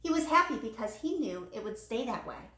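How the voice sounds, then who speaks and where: A woman's voice: on the high side, very animated, brisk, fairly bright tone. A woman reading a children's story aloud, as a teacher would to young listeners. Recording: echoey room with light background noise.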